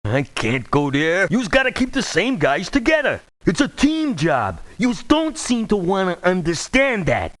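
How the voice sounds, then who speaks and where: A man voicing a brash, indignant mobster-like mercenary for a video game, with a New York Italian-American accent and slangy street talk. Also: on the high side. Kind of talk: video game character voice acting.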